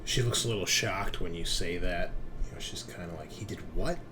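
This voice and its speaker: A man working as game master, narrating a roleplaying game in an informal, halting way with some swearing. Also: sits low, noisy background.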